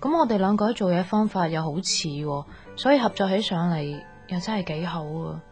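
A woman's voice: on the low side, lively intonation, fairly quick, darkish tone, faint music behind. An actress playing a sweet, earnest young woman confiding about romance in a radio drama, girlish, with a Hong Kong Cantonese accent. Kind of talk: naturalistic radio drama acting.

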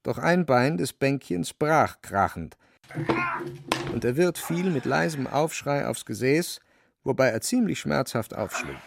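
A man narrating a literary radio drama in a measured, wry storytelling manner. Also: medium pitch, creaky, no echo.

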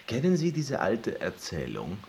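Tiefer, sehr lebhafter, neugieriger Erzähler. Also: male voice, fairly low pitch, unhurried, vocal fry, slight background noise, full character voice. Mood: intrigued, teasing. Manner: animated, theatrical, ironic. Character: talkative, curious, teasing male host telling an old tale. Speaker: actor in a radio play. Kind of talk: German radio drama, dialogue and storytelling.

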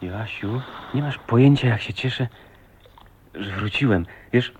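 An actor playing an adoring, wry young husband with an expressive, tender delivery in a radio drama. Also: male voice, mid-range voice, measured pace, lightly acted. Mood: moved, happy. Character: adoring, teasing, philosophical husband living in the woods. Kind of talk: Polish radio drama acting. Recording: radio play with faint noise, ambience.

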